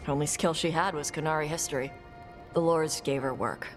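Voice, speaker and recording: A woman voicing a blunt young dragon hunter who talks in short, gruff, dismissive lines in a game cutscene. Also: low voice, fairly even intonation, brisk, faint music behind, close to an everyday voice. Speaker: treasure hunter. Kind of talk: video game cutscene dialogue.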